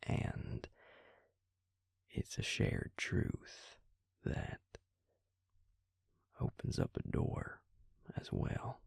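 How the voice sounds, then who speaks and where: A man playing a patient, grave vampire in an intimate audio roleplay, speaking husky, breathy and low, with hesitant, deliberate pauses. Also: a little mellow, no echo. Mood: grave, explaining. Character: male vampire, patient, grave, quietly explaining lore.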